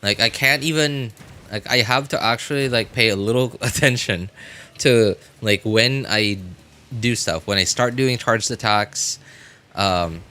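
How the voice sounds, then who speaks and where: A man's voice: medium pitch, unhurried, pushed and bright. A man streaming a video game, chatting casually in a rambling, self-deprecating way. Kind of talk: casual livestream chat while playing a video game.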